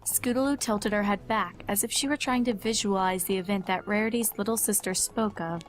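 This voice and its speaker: A woman's voice: mid-range voice, fairly quick. A woman reading scripted story narration as the narrator of a tale.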